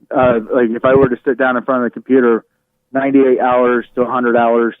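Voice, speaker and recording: A man speaks over a narrow, phone-like connection with no room echo. His voice is medium in pitch, and he talks casually as a radio host being interviewed. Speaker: radio show host appearing as a podcast guest. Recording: thin, narrow phone-like line.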